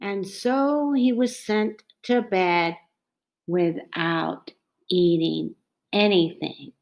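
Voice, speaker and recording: A woman reading a children's picture book aloud in a dramatic, expressive way, stretching out phrases and dropping into hushed tones. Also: fairly low pitch, very slow, creaky. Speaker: storybook reader.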